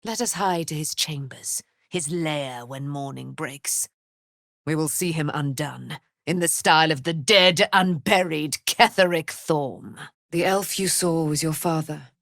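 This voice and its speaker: Regal female warrior knight for a fantasy game: righteous, vengeful, grand archaic declamation, slow measured delivery. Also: low voice, vocal fry, fairly bright tone, dry recording, studio quality, heavily acted. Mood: resolute. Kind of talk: theatrical fantasy game voice acting.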